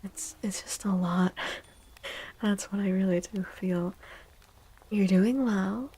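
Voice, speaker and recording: A woman streamer giving a soft-spoken ASMR tarot reading, gentle and unhurried, pausing between phrases and often slipping into a breathy whisper. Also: medium pitch.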